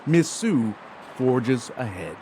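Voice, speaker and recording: A man's voice: medium pitch, big pitch swings, slow pace, background noise. Male TV news feature narrator. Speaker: news reporter and anchor.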